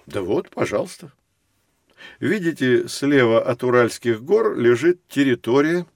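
An actor playing a friendly professor in an educational audio drama, explaining things expressively to children. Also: male voice, medium pitch, animated, unhurried, dry recording, studio quality. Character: friendly male professor guiding children through geography.

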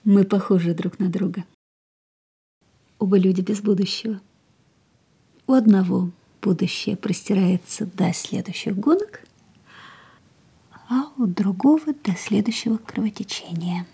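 An actress in a radio play playing an ailing, dreamy young woman who is hungry for life, speaking softly and breathily in lyrical phrases. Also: mid-range voice, a little slow, pauses often. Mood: tender, wistful. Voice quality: soft, breathy. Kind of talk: literary radio drama acting, lyrical monologue and dialogue.

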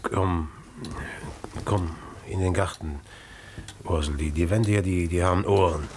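An actor playing a debt-ridden, secretive and irritable village innkeeper in a 1950s German radio drama, agitated and repeating himself. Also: male voice, low-pitched, fairly even intonation, measured pace. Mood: troubled, defensive. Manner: agitated, repeating words, scolding and hushing. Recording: noisy 1950s mono radio play recording.

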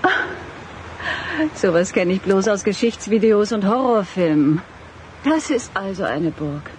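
An actress plays a curious, worldly woman in a radio drama. Her mid-pitched voice has an arch, teasing manner. Vehicle noise is clearly heard behind her. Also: a little slow, a little mellow, natural voice, poor audio. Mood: arch, inquisitive. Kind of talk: German radio drama dialogue acting.

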